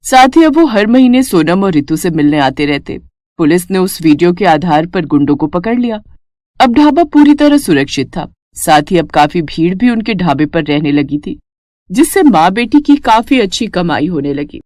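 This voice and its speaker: A woman's voice: fairly low pitch, sing-song, moderate pace, darkish tone, no room sound, studio quality. A woman narrating a scripted moral story as a storyteller.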